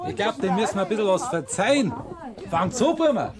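A man's voice: sits high, big pitch swings, fairly quick. An actor playing a merry village man at a dance with a Bavarian accent, good-humoured. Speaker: actor in a radio play. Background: guitar music and crowd.

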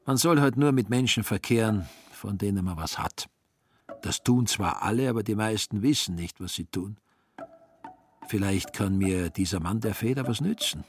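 A man's voice: on the low side, animated, normal speed, a little hiss or hum. A man narrating a literary radio play in a wry storytelling manner. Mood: wry, grumbling. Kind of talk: literary narration with acted inner monologue.

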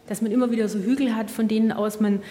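A woman's voice: fairly high pitch, steady tone, quick pace, warm tone. Woman speaking in a very reverberant hall. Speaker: exhibition curator, interviewee. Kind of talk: spontaneous guided-tour explanation. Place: large indoor museum gallery.

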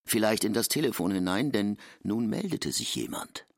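A man's voice: fairly low pitch, big pitch swings, normal speed, slight background noise. A man reading scripted literary narration in an audio drama.

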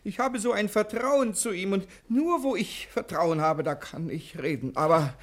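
An actor playing a nervous, wheedling convict in a 1960 German radio play, stammering and pleading hesitantly. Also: male voice, high voice, moderate pace, lightly acted.

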